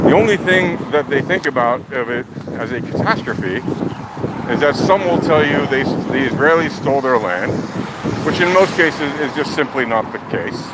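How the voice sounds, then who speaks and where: A man giving opinionated, off-the-cuff political commentary in a deliberate, emphatic, argumentative manner. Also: a little high, lively intonation. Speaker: speaker of a political monologue. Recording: loud outdoor traffic or engine noise.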